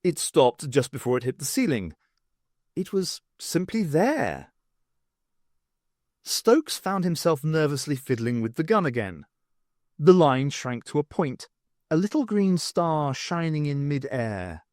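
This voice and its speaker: A man reading a novel aloud as an expressive audiobook narrator, in an animated storytelling manner. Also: fairly high pitch, a little slow, no room sound, studio quality. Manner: animated storytelling with acted dialogue.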